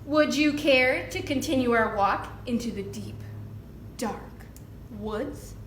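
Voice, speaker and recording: A woman voicing a sly, courteous male fox from a storybook, with formal, smooth invitations. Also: fairly high pitch, slow pace. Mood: sly, inviting. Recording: roomy echo with background noise.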